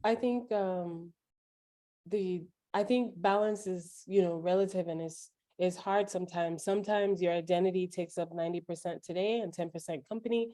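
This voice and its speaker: A woman with a Nigerian accent blended with New York speech talks in a low, mellow voice. She gives advice earnestly and directly. The recording is dry and close. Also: fairly even intonation, normal speed, dry recording. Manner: earnest, advising, speaks up plainly. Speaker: guest. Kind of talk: interview conversation.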